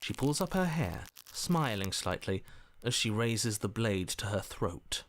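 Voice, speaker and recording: An actor voicing a visual novel's storyteller and inner voices in a theatrical, expressive manner. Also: male voice, fairly low pitch, a little slow. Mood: grim, measured. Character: shifting inner voices: stern storyteller, anxious hero, cynic. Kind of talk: dramatic visual novel narration and dialogue. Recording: music behind the voice.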